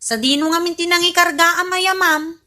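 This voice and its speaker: A high, sharp-edged voice gives us a sassy housemaid who talks back while sounding polite on the surface. The accent is Ilocano Filipino and the style is radio comedy-drama. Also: female voice, fairly even intonation, fairly quick. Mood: sassy, mock-polite. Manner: sassy, mock-deferential.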